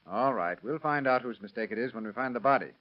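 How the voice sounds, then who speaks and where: A man's voice: mid-range voice, animated, fast, close to an everyday voice. A man acting a sardonic, clipped police detective in an old radio drama, in a dull vintage recording. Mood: stern, accusing. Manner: clipped, hard-boiled, slangy. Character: brisk, sardonic, skeptical male police detective.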